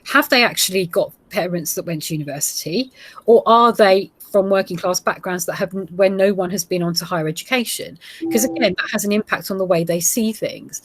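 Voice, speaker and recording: A woman in her forties, a geography teacher with a Gujarati heritage, explaining ideas to other teachers in a steady way with small fillers. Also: mid-range voice, breathy edge, dry recording. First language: Gujarati heritage, English now first. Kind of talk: presentation to teachers.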